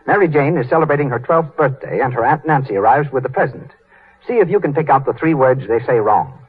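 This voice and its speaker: A man's voice: medium pitch, lively intonation, fairly quick, husky. A man with an American accent announcing in 1930s radio style. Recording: tinny, band-limited 1930s radio broadcast.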